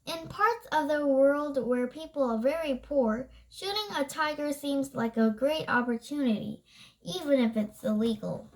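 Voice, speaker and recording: Slow, high-pitched child reading aloud. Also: rough recording. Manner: halting, stumbles and rereads words.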